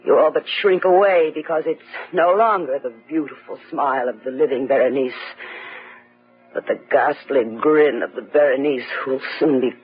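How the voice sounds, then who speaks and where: A woman playing a bitter, dying wife who taunts in a husky, drawn-out, theatrical gothic radio style. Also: a bass voice, heavily acted. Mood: menacing, taunting. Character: bitter dying wife, venomous, haunting, mocking. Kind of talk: old-time gothic radio acting. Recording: dull vintage radio recording, music behind.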